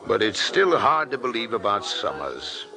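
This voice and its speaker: Musing, expressive radio-drama official. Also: male voice, on the low side, normal speed. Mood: puzzled, musing. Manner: hesitant, musing. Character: mild, puzzled male official hearing a case explained. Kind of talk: 1940s radio drama acting.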